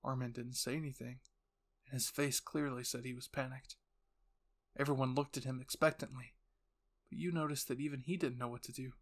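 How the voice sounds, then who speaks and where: A man with a medium-pitched voice narrates a scripted roleplay. He tells the story in the second person, with dramatic storytelling delivery. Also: steady tone, a little fast. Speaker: narrator and channel host.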